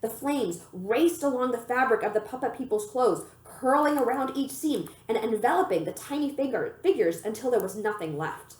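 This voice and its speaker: A woman reading a children's novel aloud in an expressive, storytelling manner. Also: on the high side, normal speed, few pauses. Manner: animated storytelling, acts out the dialogue. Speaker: library reader of children's books. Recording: echoey room.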